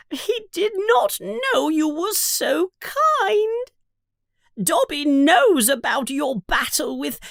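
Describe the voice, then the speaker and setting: A woman's voice: high-pitched, no room sound, studio quality, pitched above the performer's own voice. A woman voicing a frightened, devoted male house elf servant in a storybook, pleading dramatically and speaking of himself in the third person.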